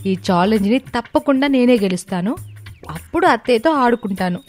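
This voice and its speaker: A woman plays a young daughter-in-law in a cartoon family tale. She sounds high, plaintive and anxious yet stubborn, with faint music underneath. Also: animated, fairly quick, darkish tone, lightly acted. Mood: anxious, determined. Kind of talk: cartoon moral-story voicing.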